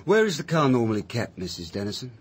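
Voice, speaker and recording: An actor playing a sardonic, pressing police sergeant in a radio mystery, husky, pointed and mock-polite as he needles a witness. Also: mid-range voice, big pitch swings, quick pace. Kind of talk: British radio mystery drama acting.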